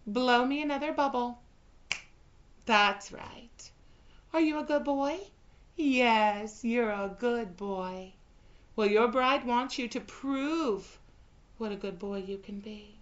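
A woman speaks slowly and deliberately, her tone teasing yet commanding. The audio is slightly dull and muffled. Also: a little high. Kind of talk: scripted audio roleplay.

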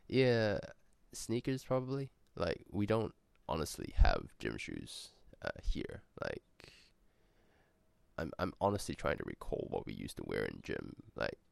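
A man's voice: rumbling low, very animated, slow pace, creaky, dry recording. A young man from New Zealand with a New Zealand accent, chatting casually and rambling through a vlog with self-corrections.

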